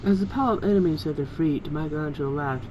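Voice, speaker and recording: A man reads fan fiction aloud as an amateur co-reader, dropping into casual asides. His voice is dark and mellow, captured on a dull home recording with faint background noise. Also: fairly high pitch, fast.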